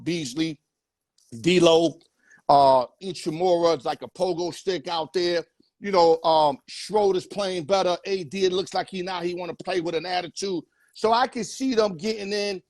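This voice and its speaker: A man's voice: high-pitched, a little mellow, no echo, studio quality. A man hosting a casual sports talk show, loose and joking, full of street slang. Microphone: headset or earpiece.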